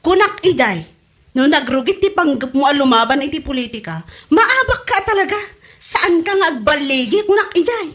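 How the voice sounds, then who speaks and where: A woman plays a gossipy, taunting character in a comedy audio drama. Her voice is high and very bright, with a gleeful mocking edge. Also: big pitch swings, moderate pace. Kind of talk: comedy radio drama acting.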